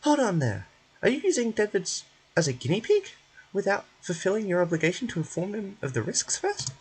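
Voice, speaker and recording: A man voicing a small, excitable, indignant floating companion from a game, whiny and exclaiming. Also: high-pitched, fairly quick, breathy edge, voice pushed up, full character voice. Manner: exclaiming, refers to self in third person.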